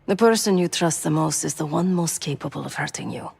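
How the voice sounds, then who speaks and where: A woman acting a hardened, guilt-haunted former assassin in a video game drama, speaking in a measured, intense way. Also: low-pitched, creaky, a little mellow, a little hiss or hum. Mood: subdued, confiding.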